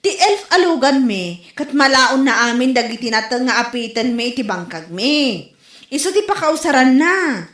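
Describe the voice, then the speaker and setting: A woman's voice: high-pitched, big pitch swings, moderate pace, fairly bright tone, echoey room. A woman with an Ilocano accent playing a proud, easily slighted village wife who complains in a comedy audio drama. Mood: complaining.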